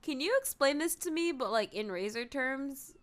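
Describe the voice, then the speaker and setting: A woman game streamer with a high voice and very animated pitch. She gives casual, expressive live reactions in loose, informal phrasing. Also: moderate pace.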